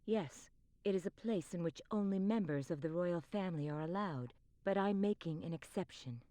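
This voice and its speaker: A woman's voice: on the low side, normal speed, warm tone, no room sound, close to an everyday voice. A woman playing a grave, commanding queen who speaks formally in a video game cutscene. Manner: formal, stately royal speech.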